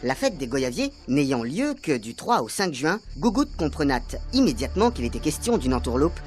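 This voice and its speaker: A woman's voice: low voice, big pitch swings, normal speed. A woman narrating a comic radio serial in a theatrical, suspenseful way. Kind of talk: dramatic comic scripted narration. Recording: loud music bed behind the voice.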